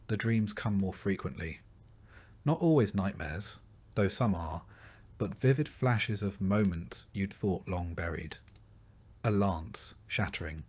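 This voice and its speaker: Low-pitched man narrating in solemn tones. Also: darkish tone. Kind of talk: scripted second-person history narration, storytelling.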